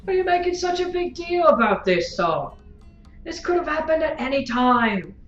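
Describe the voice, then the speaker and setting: A woman's voice: high voice, sing-song, normal speed. A woman playing a blunt, flippant, fatalistic companion in an audio drama, talking casually with frequent tag questions. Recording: roomy, dull sound with faint music.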